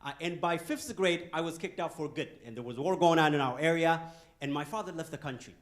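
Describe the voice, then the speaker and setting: A man from Ethiopia, giving a joking, animated motivational talk to a youth audience in a conference hall and throwing questions at the crowd. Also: a little high, a little fast. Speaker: guest speaker.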